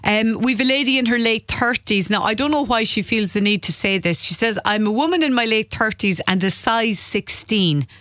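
A woman hosting a radio call-in, briskly reading out listeners' questions. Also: fairly high pitch, fairly bright tone, no echo, clean studio sound. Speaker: radio presenter.

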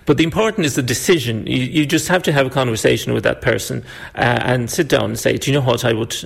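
A man's voice: medium pitch, fast talker, vocal fry, slightly rough. A man being interviewed as a language planning officer, giving encouraging advice. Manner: encouraging, upbeat. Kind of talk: interview answers.

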